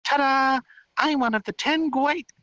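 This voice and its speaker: A man performs a tiny fairy girl from a fantasy storybook, pitched extremely high and swooping wildly. The delivery is haughty and indignant, full of boasts and stammered repeats, with a bright, forceful edge. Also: big pitch swings, moderate pace, no echo, full character voice, low-quality recording. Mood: haughty, boastful.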